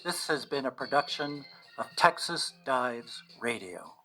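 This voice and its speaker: A man with a mid-pitched voice narrates a scripted radio drama. He tells the story in a measured way, setting out each scene as a storyteller would. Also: expressive, sharp tone, faint music behind. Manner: measured storytelling in present tense, acting out brief quotes.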